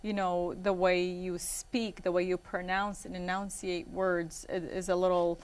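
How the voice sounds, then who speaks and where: A woman in her forties, born in Iraq and raised in Michigan, answers interview questions in a relaxed, rambling way. Her voice sits a little low, and she fills her pauses with ums and you-knows. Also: smooth. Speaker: guest. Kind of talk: casual interview talk.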